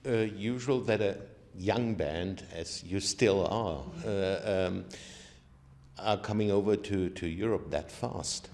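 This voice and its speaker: A man's voice: deep voice, slow pace, darkish tone. A man interviewing a band, asking questions with some hesitation and fillers.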